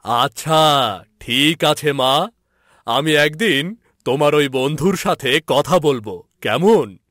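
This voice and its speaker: Slow-speaking, doting worried king played by a man. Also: medium pitch, animated, a bit bright, no room sound, clean studio sound. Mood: soothing. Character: worried, doting middle-aged king and father. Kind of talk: animated fairy-tale cartoon dialogue.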